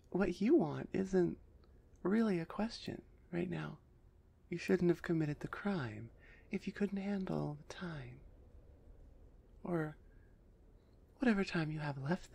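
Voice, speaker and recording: Woman voicing a creaky, low-voiced minotaur executioner. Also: dark tone, full character voice. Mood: dismissive. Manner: deliberate, dismissive, taunting. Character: big tsundere minotaur woman executioner, stern, proud, haughty. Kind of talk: roleplay audio drama, ASMR.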